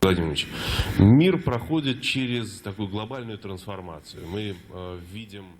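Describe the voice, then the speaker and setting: Lowish male voice, formal political talk, halting with pauses. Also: a little mellow.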